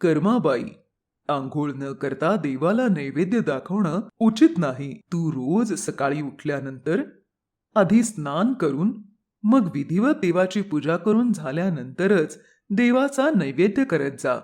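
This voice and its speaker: A woman voicing a stern male holy man who gives instruction in a solemn, measured manner. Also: low voice, expressive, darkish tone, voice pushed down.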